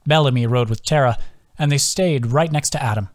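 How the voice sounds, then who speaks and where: Guy reading his fantasy story, medium pitch, super dry audiobook sound. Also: fairly quick, no echo. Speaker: author reading own audiobook. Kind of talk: scripted fantasy story narration.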